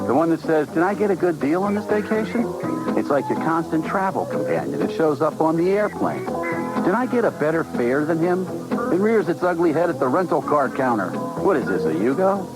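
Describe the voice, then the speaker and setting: Lively American male ad narrator over music. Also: fairly high pitch, poor audio. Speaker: commercial voice-over announcer. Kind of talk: wry scripted ad narration. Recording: AM radio commercial with loud music.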